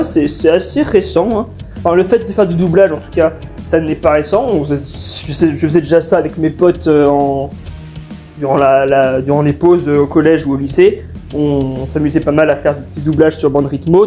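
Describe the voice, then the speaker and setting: A young man giving an informal, halting explanation as a fan dub creator, answering questions. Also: a little high, warm tone. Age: young adult. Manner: hesitant, halting delivery. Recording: faint music behind.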